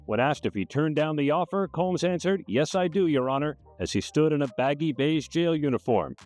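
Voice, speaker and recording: A man's voice: fairly high pitch, animated, normal speed, darkish tone, soft background music. A man delivering a scripted broadcast news report as a reporter.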